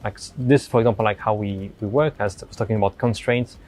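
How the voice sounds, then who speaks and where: A man speaking as an interview guest, explaining his work casually in a halting, enthusiastic way with emphatic words. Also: on the low side, a little mellow. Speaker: lead artist, interview guest.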